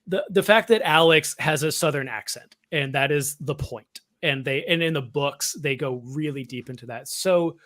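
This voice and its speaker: A man with a slightly high voice talks as a podcast co-host. He explains his opinions in a casual, conversational way. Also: moderate pace, hardly any pauses, a bit bright. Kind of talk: casual fan discussion of Star Wars.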